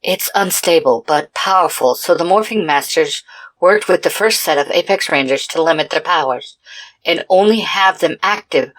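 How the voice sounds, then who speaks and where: A woman voicing an earnest, commanding female team leader in an adventure story, measured and solemn. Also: lowish voice, a bit bright, voice pushed up, lightly acted, poor audio.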